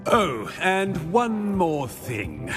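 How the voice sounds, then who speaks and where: High-pitched, pompous headmaster. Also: male voice, huge pitch swings, very slow, music in the background, full character voice. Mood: officious. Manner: pompous, clipped, commanding. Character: stern, imperious male school headmaster, pompous and irritable. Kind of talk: fantasy game dialogue.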